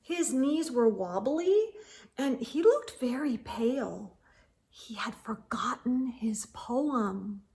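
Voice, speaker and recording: A woman narrates a children's picture book in a high voice. She reads slowly and deliberately, with expressive storytime delivery meant for young listeners.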